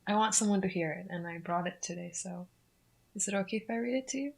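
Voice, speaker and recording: A young woman playing a heartbroken client in therapy, speaking hesitantly with halting fillers. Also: medium pitch, creaky, lightly acted. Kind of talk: acted therapy dialogue.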